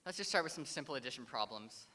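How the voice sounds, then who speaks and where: Young man reading a starstruck nerd, bright and hesitant. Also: mid-range voice, expressive, fast talker. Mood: eager. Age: young adult. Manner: eager, hesitant, fumbling. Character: nerdy starstruck boy tutor, eager and awkward.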